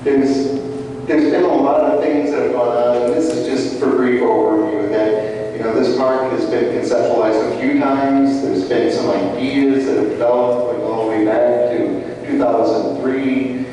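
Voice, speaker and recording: A man's voice: medium pitch, fairly even intonation, a little slow, music in the background. A man presenting at a community meeting in a large room, speaking informally with many fillers. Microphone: handheld mic held at a distance. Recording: far-off echoey hall with mic feedback.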